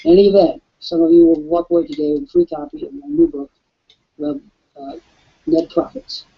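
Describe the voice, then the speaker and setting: A raspy-voiced man hosting a live webcast and pitching an offer, emphatic like a salesman. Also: very animated, a little fast. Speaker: webcast host. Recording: dull lo-fi webcast audio.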